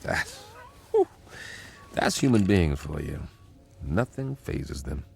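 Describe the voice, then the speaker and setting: An actor playing a breezy, confident, eager man in a radio drama, with an excitable, halting way of talking. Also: rumbling low, creaky, soft background music, exaggerated voice. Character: easygoing, eager male government codebreaker, breezy and confident.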